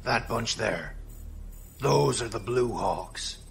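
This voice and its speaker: A man playing a gruff, wry veteran crew boss in a space audio drama, with a gravelly, folksy way of talking. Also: fairly low pitch, animated, slow pace, a little hiss or hum, heavily acted. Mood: admiring, reflective.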